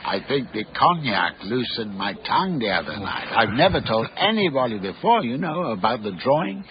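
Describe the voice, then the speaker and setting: An actor playing a cultured, shrewd older man selling art in a radio drama, urbane, measured and coaxing. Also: medium pitch, big pitch swings, lo-fi sound, crowd noise, natural voice. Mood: confiding.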